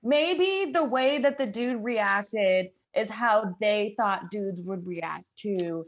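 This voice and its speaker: A woman's voice: medium pitch, takes it slowly, dull audio. A woman co-hosting a podcast, talking in a slangy, conversational way in a casual group chat. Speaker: podcast co-host.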